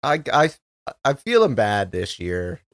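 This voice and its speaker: Male co-host for casual local news chat: gravelly, halting, rambling, recorded dry. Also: on the low side, wildly swooping pitch, no echo. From Canada. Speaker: community radio co-host.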